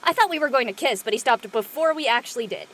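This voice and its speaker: An actress playing a brash, outspoken teenage girl in a casual, slangy, expressive drama performance. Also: on the high side, quick pace, a little hiss or hum. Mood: awkward, dismissive. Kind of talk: casual scripted drama dialogue.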